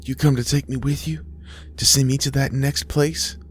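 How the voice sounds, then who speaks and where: A man voicing a frail, stubborn dying woman, raised pitch. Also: fairly even intonation, normal speed, close to an everyday voice. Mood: bewildered, hopeful. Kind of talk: acted storybook dialogue. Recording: faint music underneath.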